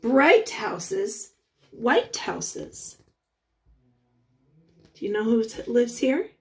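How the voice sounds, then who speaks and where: A woman in her forties reading a picture book aloud as a teacher, pausing to put questions to young children in a deliberate, sing-song way. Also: a little high, big pitch swings.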